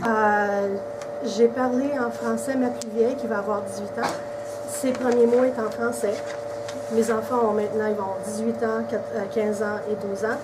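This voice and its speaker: A woman's voice: a little high, steady tone, moderate pace. A woman in her forties from Quebec, speaking fluently and matter-of-factly in an oral history interview. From Quebec, Canada. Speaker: mother, interviewee. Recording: distant mic with loud background noise.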